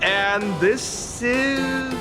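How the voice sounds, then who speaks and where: A man's voice: very high voice, extremely slow, over loud music, heavily acted. A man voicing an eager, polite official greeter in a video game, with a courteous and effusive manner. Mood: cheerful welcome.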